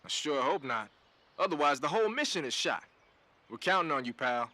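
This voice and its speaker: A man's voice: fairly high pitch, very animated, fairly quick, pushed and bright, a little hiss or hum. A man playing a talkative male radio support tech in a game cutscene, with casual, slangy banter. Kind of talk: video game cutscene acting.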